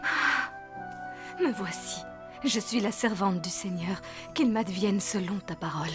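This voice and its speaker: A woman voicing a humble, devout young mother-to-be, earnest and reverent, in an animated Bible drama. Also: mid-range voice, a little slow, over music, close to an everyday voice.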